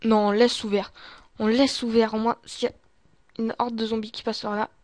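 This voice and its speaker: High-voiced woman muttering through gameplay. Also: little pitch movement, normal speed, darkish tone, no echo. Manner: muttering, often dropping into breathy whispers, with exclamations. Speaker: gamer playing a role-play survival series. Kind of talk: in-character gameplay commentary, muttering to self.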